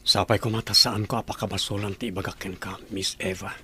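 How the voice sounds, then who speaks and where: A man's voice: low voice, little pitch movement, fast, bright, forceful tone, a little hiss or hum. An actor playing an earnest male boss courting his employee in a Filipino radio drama. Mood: earnest wooing.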